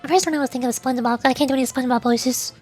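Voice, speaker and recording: High-pitched, playful woman streamer. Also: steady tone, racing, a little mellow, faint music behind. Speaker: streamer and voice performer. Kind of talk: casual livestream chat, answering viewers.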